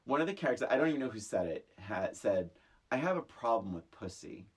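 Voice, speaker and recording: Very low, husky-voiced woman, animated. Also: fast talker. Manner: blunt, emphatic, expressive storytelling. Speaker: podcast co-host. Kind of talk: casual comedic movie recap.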